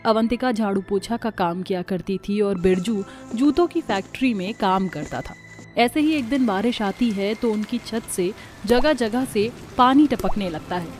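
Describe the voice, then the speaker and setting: A woman's voice: medium pitch, moderate pace, darkish tone, soft background music. A woman narrating a scripted story as the storyteller.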